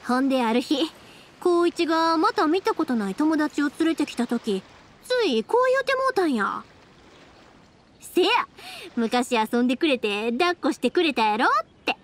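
A woman playing a cheerful, caring, energetic young fireworks maker with a Kansai accent in an anime game. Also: high voice.

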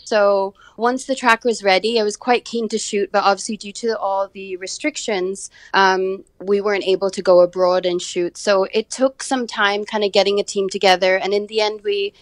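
A woman's voice: mid-range voice, little pitch movement, moderate pace, few pauses, dry recording. A young woman, a singer raised in Canada and India, chatting as a radio interview guest in a studio. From born in the UK, raised in Canada and India. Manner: chatty, warm and grateful, many fillers. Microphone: studio microphone.

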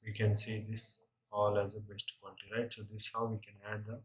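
A man giving a step-by-step software tutorial, often tagging his statements with a questioning "right?". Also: lowish voice, monotone, brisk, rough recording. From India. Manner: frequently tags statements with "right?". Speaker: software instructor. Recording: clear background noise with clicking.

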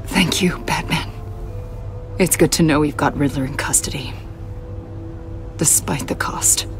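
A woman's voice: low-pitched, unhurried, in a whisper, music in the background. An actress playing an earnest, guilt-ridden female agent in a video game, with a subdued manner. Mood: grateful, subdued.

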